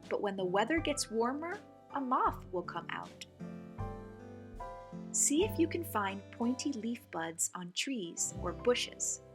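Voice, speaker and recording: A woman reading a picture book aloud for young children, with expressive, clearly phrased delivery as the host. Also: fairly high pitch, takes it slowly, over music. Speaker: children's story reader and host.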